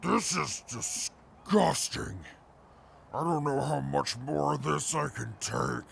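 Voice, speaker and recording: A man playing a proud, stubborn super mutant in a post-apocalyptic audio drama, gravelly and pompous in his formal wording. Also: a little high, takes it slowly, fairly bright tone, a little hiss or hum, full character voice. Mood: disgusted.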